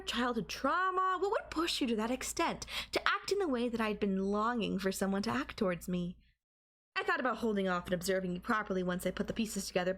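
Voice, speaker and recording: A woman playing a doting, obsessive and calmly manipulative girlfriend in an intimate ASMR audio roleplay, coaxing and softly teasing. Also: mid-range voice, natural voice. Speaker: voice actor.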